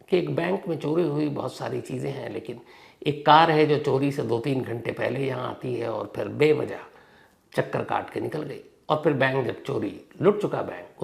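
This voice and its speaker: Male true-crime host, heavy vocal fry, conversational narration, emphatic openings and trailing-off endings. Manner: dramatic pauses and emphatic stresses, sentences trailing off low.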